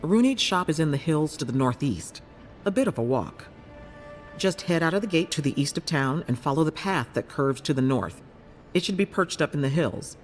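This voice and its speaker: An actor voicing a caring, inquisitive healer in a video game, with a measured, advising manner. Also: male voice, fairly high pitch, lively intonation, soft background music, natural voice. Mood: reassuring, advising. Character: keen, caring clinic healer, intrigued by strange souls. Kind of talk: video game character dialogue.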